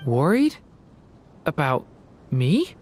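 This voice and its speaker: Gentle, hesitant youth. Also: male voice, fairly high pitch, wildly swooping pitch, extremely slow, a little hiss or hum, heavily acted. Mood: apologetic. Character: gentle, earnest, unsure youth. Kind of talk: video game voice acting.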